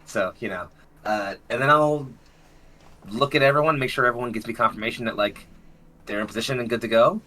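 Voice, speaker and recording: A man with a bright, forceful voice playing a tabletop game. He talks casually and sardonically, dropping dry one-word quips and the odd curse. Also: mid-range voice, fairly quick, slight background noise. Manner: wry, sardonic, profane. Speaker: player.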